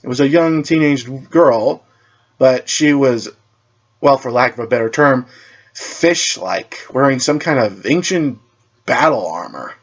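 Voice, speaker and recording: A man's voice: medium pitch, lively intonation, measured pace, highs cut off. A man reading a superhero story aloud as narrator, often stumbling over words and rereading them.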